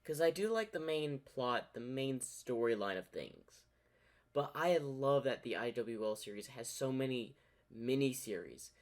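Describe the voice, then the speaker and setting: A man's voice: medium pitch, animated, measured pace. A man giving casual fan commentary as the host of a reaction video.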